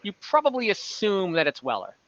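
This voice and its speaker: A man's voice: high-pitched, huge pitch swings, fairly quick, fairly bright tone, dry recording, muffled recording. A man narrating an improvised tabletop game casually as game master, thinking aloud and correcting himself, on a close mic over an online call.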